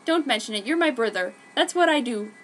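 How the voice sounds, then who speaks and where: A woman's voice: fairly high pitch, animated, fairly quick, a little hiss or hum, natural voice. A woman voicing a caring, bossy brotherly young man in a dramatic story reading. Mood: reassuring.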